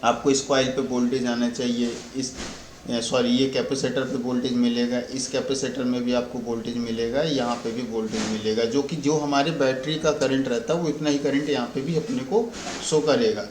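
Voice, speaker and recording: A man's voice: mid-range voice, steady tone, moderate pace, darkish tone. An Indian man giving an informal repair tutorial as a technician, explaining step by step and often repeating and rephrasing his points. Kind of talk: informal spoken repair walkthrough. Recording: roomy echo, faint background noise.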